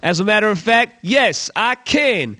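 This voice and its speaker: A man's voice: high voice, big pitch swings, a little slow, sharp tone, full character voice. A man playing a brash, cocky hip-hop radio DJ with punchy, slangy, playful patter. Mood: hyped, cocky.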